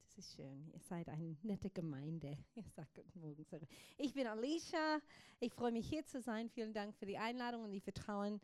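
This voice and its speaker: A woman in her thirties from Australia, a pastor who speaks German as a learned language with an Australian accent, giving a casual sermon with many fillers and quiet prayers. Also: mid-range voice, animated, no echo. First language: English. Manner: chatty and warm with many ums, soft and slow in prayer.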